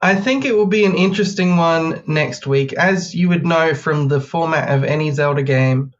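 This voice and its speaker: Male Australian guest, higher-set voice with slight cold hoarseness, casual delivery, dull recording. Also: moderate pace. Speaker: podcast guest. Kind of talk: casual chat.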